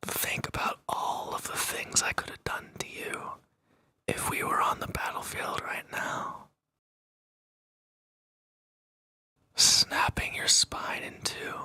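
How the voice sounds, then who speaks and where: A man playing a proud, teasing reformed villain and swordsman in an intimate audio roleplay, talking cockily to one listener in a gravelly voice. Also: slow, pushed and bright, no echo, in a whisper. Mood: menacing, hushed.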